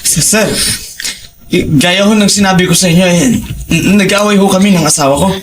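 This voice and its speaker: Man acting a stammering nervous suspect, high voice. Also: a little fast, lightly acted. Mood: nervous, evasive. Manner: stammering, hesitant. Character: nervous, guilty-seeming man reporting to police. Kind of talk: Filipino audio crime drama acting. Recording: clear background noise.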